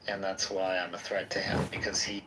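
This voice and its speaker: A man's voice: deep voice, flat delivery, a little fast, background noise. A man talking in a rambling, hesitant way with many fillers during a live audio room discussion. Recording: distant mic with faint ambience.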